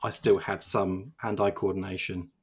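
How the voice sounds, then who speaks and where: A man's voice: sits low, flat delivery, moderate pace, slightly rough. A man co-hosting a casual games podcast, rambling and self-deprecating with lots of fillers. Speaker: games journalist co-host.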